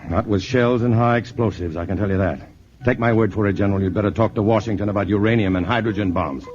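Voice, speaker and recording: An actor playing a steady, reassuring male scientist on the run from invaders in a 1950s radio drama, on an old broadcast recording. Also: lowish voice, quick pace, muffled recording, lightly acted. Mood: grave, urgent.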